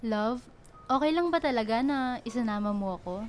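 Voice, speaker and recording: A woman's voice: a little high, animated, moderate pace, a little hiss or hum, natural voice. A woman playing a caring, protective young big sister in radio drama dialogue. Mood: curious.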